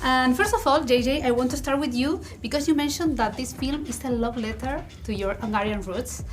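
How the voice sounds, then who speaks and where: Enthusiastic woman interviewer, higher voice. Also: fairly quick, a little hiss or hum. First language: Spanish. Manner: enthusiastic, effusive praise. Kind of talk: entertainment interview.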